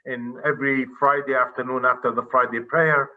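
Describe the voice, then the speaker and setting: A man's voice: medium pitch, little pitch movement, fairly quick, narrow, tinny audio. A man from Israel, giving an earnest, explanatory talk over a video call. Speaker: presenter, director of a civic center.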